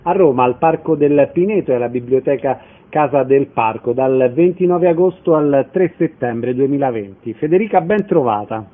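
A man's voice: mid-range voice, animated, normal speed, few pauses. A man hosting a radio interview, delivering prepared introductions and questions. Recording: narrow phone-like radio sound.

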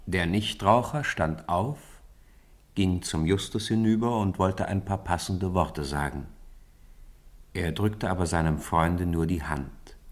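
A man narrating a radio play in a calm, even storyteller's delivery. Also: deep voice.

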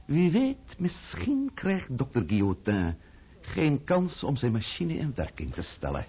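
Menacing radio play schemer, mocking and theatrical, slow talking with huge swings in pitch. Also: male voice, wildly swooping pitch, breathy edge, phone-quality sound, full character voice. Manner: sardonic, theatrical, deliberate. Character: cunning, menacing revolutionary schemer, mocking man of power.

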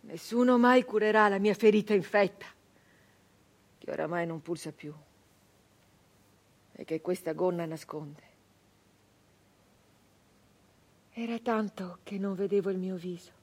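An actress playing a captured nineteenth-century brigand woman, wounded, bitter and doomed, in an intense, halting theatrical monologue. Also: medium pitch, close to an everyday voice. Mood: weary, sorrowful. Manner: intense, halting delivery with repeated phrases.

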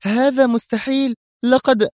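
A man's voice: very high-pitched, fairly even intonation, a little slow, dry recording, studio quality, pitched above the performer's own voice. A man voicing an indignant, exasperated female agent who exclaims her lines in an audio story. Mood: astonished.

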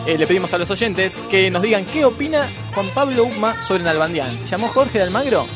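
A man's voice: high-pitched, animated, brisk, over music. A man with an Argentine Rioplatense accent presenting a radio comedy segment in a mock-serious style.

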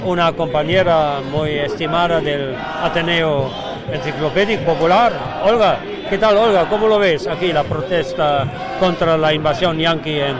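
A man's voice: on the high side, moderate pace. A man reporting live for radio from a busy city square, doing street interviews. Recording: busy protest crowd outdoors. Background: crowd of protesters.

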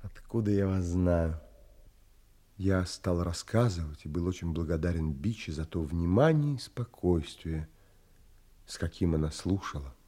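An actor playing an earnest, courteous young gentleman, narrator and romantic hero of a Russian audio drama, with formal, polite phrasing. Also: low voice, lively intonation, unhurried. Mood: composed, attentive.

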